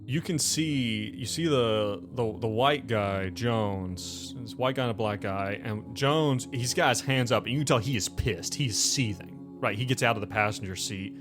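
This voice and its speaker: A man narrating a tabletop game as game master, informal and halting, leaning on filler phrases. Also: lowish voice. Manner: informal, halting, full of "like" and "you know". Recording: music playing behind the voice.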